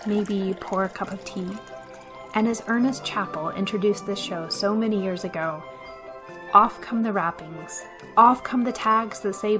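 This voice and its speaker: A woman giving scripted narration as host and storyteller of a radio theater show. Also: mid-range voice. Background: music.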